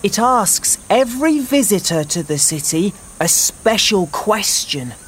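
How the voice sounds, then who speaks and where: A woman voicing an earnest, knowledgeable young boy in a storybook, with a deliberate, explanatory way of talking. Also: mid-range voice, very animated, a little hiss or hum, voice pushed up. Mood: knowing, serious. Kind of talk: storybook character voice.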